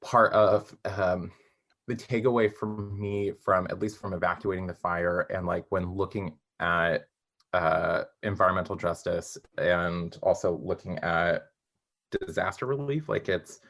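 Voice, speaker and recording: A young man being interviewed as a playwright, chatting casually and haltingly, often correcting himself as he thinks aloud. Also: sits low, fairly bright tone.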